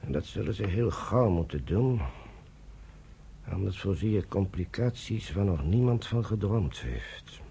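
A stern, commanding British general. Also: male voice, sits low, expressive, measured pace, gravelly, mellow, husky, exaggerated voice. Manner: commanding, curt, dismissive. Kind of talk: radio drama acting.

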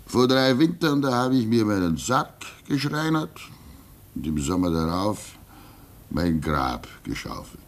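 Fatherly old hermit in a radio drama: low voice, very unhurried, gentle, resigned, reflective. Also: very animated. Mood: serene, devout. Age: older. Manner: fatherly, reflective, halting, swinging between emphasis and hush. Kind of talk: German radio play acting.

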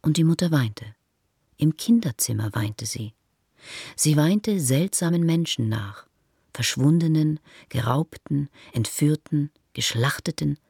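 A woman narrating a literary radio play in a measured, hushed storytelling manner. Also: low voice, lively intonation, vocal fry, husky, dry recording, studio quality.

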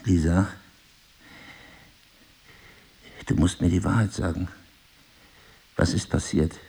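An actor playing an intense, probing husband in an intimate audio drama, speaking in an eloquent, insistent way. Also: male voice, low-pitched, steady tone, moderate pace, vocal fry, darkish tone, slightly rough, heavily acted. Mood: suspicious, searching. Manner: insistent, questioning, theatrically eloquent. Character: intense, probing crime-writer husband with memory loss. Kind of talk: intimate two-person audio drama acting.

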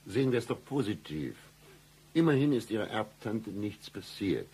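An actor in a comic radio play, playing a sardonic private investigator with a deadpan, slightly husky delivery. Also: male voice, lowish voice, moderate pace, full character voice, rough recording.

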